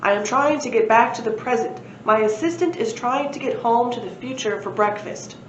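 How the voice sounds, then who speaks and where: Woman putting on a proud, booming dinosaur professor. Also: normal speed, close to an everyday voice. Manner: booming, emphatic, brightly confident. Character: proud, cheerful male stegosaurus professor. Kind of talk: children's storybook read-aloud. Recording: roomy, muffled, faint background noise.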